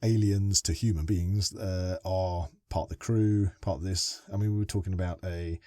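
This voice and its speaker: A man's voice: deep voice, fairly even intonation, moderate pace, dark tone, no room sound, studio quality. A man hosting a casual podcast interview, asking long, winding questions with many restarts. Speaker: podcast host.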